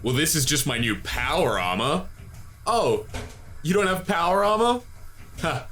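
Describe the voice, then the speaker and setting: A man playing a smug, boastful power-armour owner in a comedy sketch, with a bombastic sales-pitch delivery. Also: expressive, a little slow, a bit bright. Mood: smug boasting. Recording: music behind the voice.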